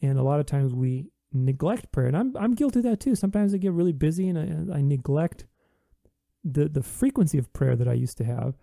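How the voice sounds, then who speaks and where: A man's voice: a little high, animated, fairly quick, gravelly, dark tone, dry recording, studio quality. A man hosting a podcast, talking earnestly in a reflective solo monologue, with many restarts. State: recovering from voice loss.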